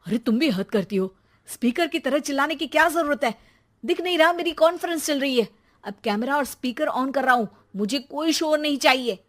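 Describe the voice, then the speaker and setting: An irritable cartoon father, as a woman puts him on, snapping in a quick, impatient voice that sits fairly high. He sounds hurried and short-tempered. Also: expressive, a bit bright. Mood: irritated. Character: irritable office-working father. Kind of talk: cartoon story voice acting.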